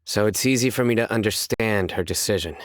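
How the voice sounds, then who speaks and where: An actor playing a stern, composed young male judge in a video game, speaking in a measured, serious, deadpan way. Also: on the low side, no room sound, studio quality, natural voice. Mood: reflective. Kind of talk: scripted game character voice lines.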